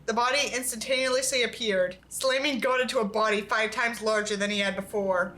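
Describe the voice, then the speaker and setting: A woman's voice: on the high side, steady tone, brisk, a bit bright, low-quality recording. A woman reading a novel aloud in a theatrical, sarcastic way, as a reviewer mocking it. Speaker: YouTube book reviewer.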